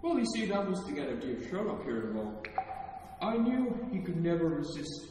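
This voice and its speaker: Audio drama villain, male actor: playful, menacing, mock-sweet criminal genius, very animated, drenched in hall echo. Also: fairly high pitch, normal speed. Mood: gleeful menace. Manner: taunting, theatrical, mock-affectionate. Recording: very echoey, distant, noisy hall.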